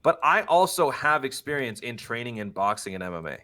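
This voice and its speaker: A young man with a bright, forceful voice at an ordinary middle pitch. He chats casually as a podcast guest, joking and teasing himself in a playful way. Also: big pitch swings, fairly quick, soft background music. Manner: playful, jokey, self-deprecating.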